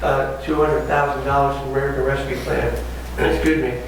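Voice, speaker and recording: A man with a raspy voice speaking as a mayor addressing a council meeting. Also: medium pitch, flat delivery, brisk. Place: echoey council meeting room. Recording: echoey room, distant mic, clear noise.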